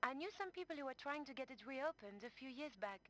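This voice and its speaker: A helpful woman answering a newspaper desk in an adventure game. Her voice is high, and she speaks as an obliging assistant. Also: expressive, fast talker, fairly bright tone.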